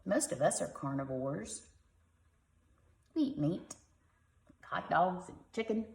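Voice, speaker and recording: A woman's voice: lowish voice, lively intonation, slow pace, vocal fry, a little mellow, roomy sound. A woman reading a picture book aloud to young children like a teacher, expressively and with simple explanatory asides.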